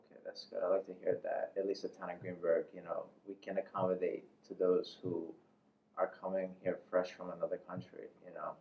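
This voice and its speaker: Deep-voiced man interviewing, echoey sound. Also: little pitch movement, fairly quick, distant mic. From United States. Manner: informal, rambling, repeats filler phrases. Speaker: interviewer, host. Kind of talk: casual interview. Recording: echoey room, muffled, faint noise.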